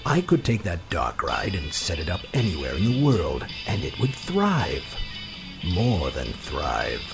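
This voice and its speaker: An actor playing a sinister, silky magician's mechanic in an audio drama, speaking in a formal, ominous, insinuating manner. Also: male voice, sits low, wildly swooping pitch, a little slow, vocal fry, music in the background, full character voice. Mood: sly, grandiose.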